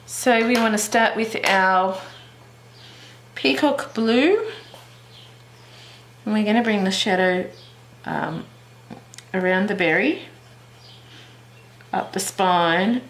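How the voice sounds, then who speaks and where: A woman's voice: fairly low pitch, a bit bright. A woman hosting a craft tutorial in a casual, chatty way, thinking aloud as she goes step by step. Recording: roomy echo, light background noise.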